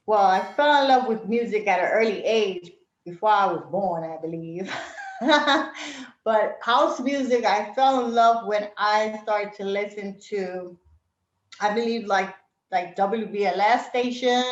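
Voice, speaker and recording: A middle-aged woman talks through her memories in a relaxed, rambling way, full of fillers and little laughs. Her voice sits at an ordinary pitch. The recording is roomy and slightly dull. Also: a little slow. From Puerto Rico, raised in Brooklyn, New York. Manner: reflective, many fillers, laughs often. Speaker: interview guest. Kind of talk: casual reminiscing interview.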